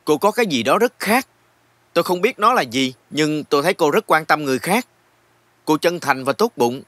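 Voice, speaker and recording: A man playing a kind, patient human guide in dubbed fantasy film dialogue, explaining things gently. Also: fairly high pitch, lively intonation, fairly quick, no room sound. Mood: kind, helpful.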